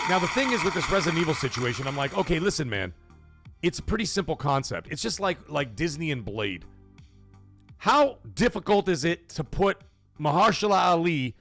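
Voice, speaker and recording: A man hosting a casual gaming live stream, rambling excitedly with fillers and restarts, greeting chat viewers and swearing casually. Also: fairly high pitch, no echo. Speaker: livestream podcast host.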